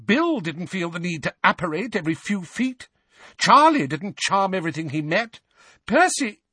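A man voicing an exasperated middle-aged mother, shrill and scolding her sons in a storybook reading. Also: very animated, normal speed, bright, forceful tone, slightly rough, no echo, full character voice. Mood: raging.

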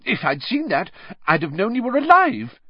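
A man reading an audiobook, voicing a frantic, doting middle-aged mother with shrill, sobbing outbursts. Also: wildly swooping pitch, moderate pace, husky, no echo, full character voice. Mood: flustered. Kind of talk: audiobook character voice. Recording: dull dry lo-fi recording.

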